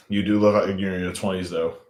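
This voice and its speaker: Chatty man streaming in a roomy echo. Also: sits low. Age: late 30s. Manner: loose, chatty, joking with chat, lots of fillers. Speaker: livestream host. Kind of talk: casual livestream chat reading viewer comments.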